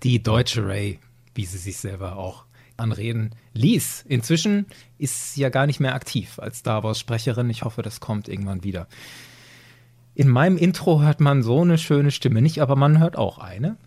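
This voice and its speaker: Male podcast host, medium pitch, slightly rough-edged voice, casual and full of enthusiasm. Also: lively intonation, moderate pace, darkish tone. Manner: enthusiastic, appreciative. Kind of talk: casual chat.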